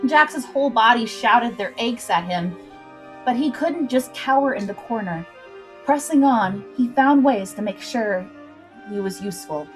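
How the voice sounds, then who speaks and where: A woman with a slightly high voice narrates an adventure story. She reads scripted prose dramatically as a storyteller, with music playing quietly underneath. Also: lively intonation, a little slow. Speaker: storyteller, livestream host.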